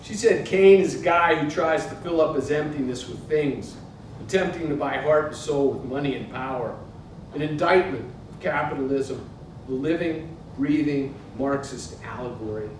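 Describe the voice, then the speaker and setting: A man in his sixties with an American accent, giving a literary reading to a live audience. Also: moderate pace. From Pittsburgh, United States. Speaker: author reading from his book. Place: indoor museum event space. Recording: very echoey hall, distant mic, noisy rumble.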